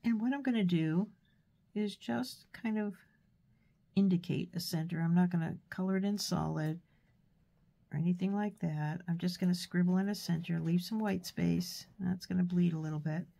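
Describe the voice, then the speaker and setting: A woman with a stuffy nose from a cold, giving a relaxed, chatty painting tutorial with fillers and soft asides. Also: lowish voice, moderate pace, vocal fry. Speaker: art tutor.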